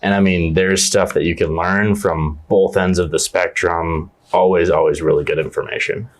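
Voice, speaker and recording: Deep-voiced earnest young man. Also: fairly even intonation, normal speed. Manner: earnest and enthusiastic, repeats "yeah, absolutely". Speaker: team leader in agent outreach. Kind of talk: conversational interview answers.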